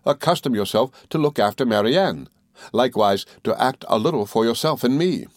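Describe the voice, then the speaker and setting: A man voicing an earnest, loving young husband in an audiobook, reasoning gently and formally. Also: medium pitch, animated, normal speed, no room sound, studio quality, lightly acted. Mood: earnest, conciliatory. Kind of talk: audiobook character dialogue.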